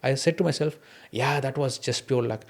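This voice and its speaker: A man's voice: mid-range voice, moderate pace, a little mellow. A man with an Indian accent, a non-native English speaker, talking candidly and reflectively about his own experiences as a podcast host. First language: an Indian mother tongue, not English. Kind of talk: candid conversation, personal anecdotes.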